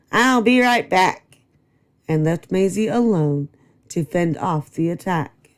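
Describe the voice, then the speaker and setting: A woman reading a rhyming children's book aloud as a storyteller, in a steady, rhythmic delivery. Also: lowish voice. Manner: slow, rhythmic rhyming read. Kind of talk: children's read-aloud narration.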